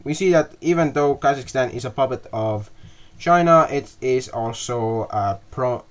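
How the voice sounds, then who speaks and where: A young man casually narrating a map video, halting often with fillers and restarting words as he goes. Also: medium pitch. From half Russian. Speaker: mapping video creator.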